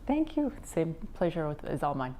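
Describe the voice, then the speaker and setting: Low-voiced American woman, measured and thoughtful. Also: very animated, dark tone. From United States. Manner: measured, thoughtful, frequent ums. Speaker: interview guest, physician and company founder. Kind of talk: relaxed interview answers.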